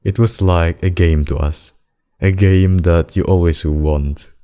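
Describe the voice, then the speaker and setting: A demon king, acted by a man, with an extremely low, mellow voice. He speaks slowly and formally in an intimate ASMR roleplay, doting on a lover with protective tenderness. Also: steady tone, smooth. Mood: fond, amused. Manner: slow, formal, doting speech with endearments.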